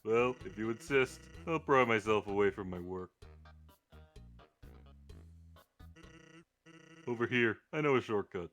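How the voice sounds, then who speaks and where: A man voicing a laid-back, joking skeleton from a video game, with a casual, wry deadpan manner. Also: lowish voice, a little fast, natural voice. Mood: joking, easygoing. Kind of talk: live character voicing of video game dialogue. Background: game music.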